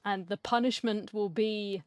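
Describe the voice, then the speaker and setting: A woman's voice: medium pitch, steady tone, unhurried, a little mellow, smooth. Female gaming streamer, casual musing commentary, medium register, intimate echo-free mic. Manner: musing, chatty. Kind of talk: casual playthrough reaction commentary.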